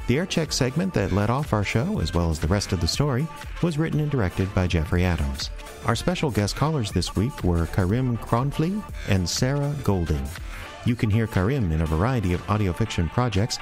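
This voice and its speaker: A man with an American accent, a podcast announcer reading scripted show credits. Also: on the low side.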